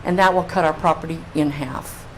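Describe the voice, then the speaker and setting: An older woman with a fairly low voice gives public comment as a local resident. She talks informally into a meeting microphone, with faint room noise behind her. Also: fairly even intonation, normal speed, low-quality recording.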